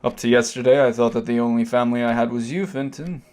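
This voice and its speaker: An Irish man acting a composed, sardonic middle-aged emigrant in a stage drama, speaking in measured, formal phrases. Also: medium pitch, fairly even intonation, darkish tone, natural voice. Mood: firm, sardonic.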